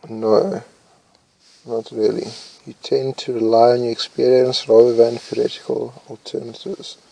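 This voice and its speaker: A man's voice: mid-range voice, flat delivery, unhurried, gravelly, rough recording. A young man casually reading personality test questions aloud and answering them, mumbling and thinking aloud with hesitations. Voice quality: says his voice is loud.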